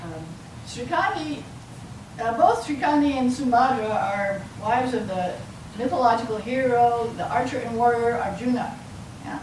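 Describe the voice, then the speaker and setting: A woman giving an explanatory academic lecture to an audience, with a halting delivery. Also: a little high, animated, darkish tone. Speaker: university lecturer. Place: lecture room, mic some way off. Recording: roomy, distant mic, clearly noisy.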